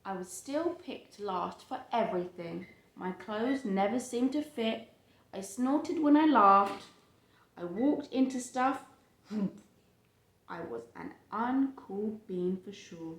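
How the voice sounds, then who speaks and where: A woman reading a picture book aloud to a child and chatting about it, with expressive, drawn-out emphasis on phrases. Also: medium pitch. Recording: roomy, echoey home recording.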